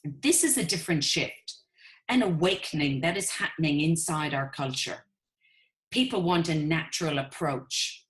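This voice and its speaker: Low-voiced Irish woman presenting a webinar. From Ireland. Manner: upbeat sales pitch, addresses listeners as "guys".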